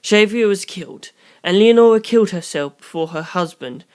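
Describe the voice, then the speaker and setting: A calm young detective as acted by an audiobook narrator lays out grim theories in formal language. The delivery is deliberate and analytical, at a medium pitch. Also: clear, resonant tone, close to an everyday voice. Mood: grave, analytical. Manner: measured, formal, deliberate phrasing.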